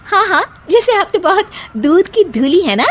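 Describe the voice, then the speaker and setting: A woman's voice: very high-pitched, very animated, moderate pace, voice pushed up. A woman voicing a feisty, dramatic young daughter-in-law in a cartoon story, complaining in a whiny, exclamatory way. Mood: indignant. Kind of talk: Hindi cartoon moral story acting.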